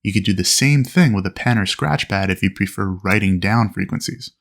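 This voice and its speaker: Man, low voice, flight instructor, instructional narration, methodical step-by-step explaining. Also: a little fast. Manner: clear, methodical explaining with aviation radio phraseology.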